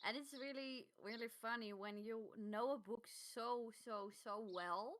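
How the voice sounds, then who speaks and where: A woman with a Dutch accent co-hosting a podcast in casual, slightly halting English. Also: on the high side, fairly bright tone, a little hiss or hum. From Netherlands. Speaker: podcast co-host.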